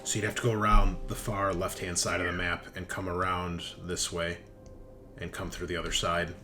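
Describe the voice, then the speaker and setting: A man with a fairly low voice leads a tabletop roleplaying game as game master. He improvises scenes in loose, conversational language. Clear music plays behind him. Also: level tone, normal speed. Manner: casual, conversational, acts out characters' lines. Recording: loud background music.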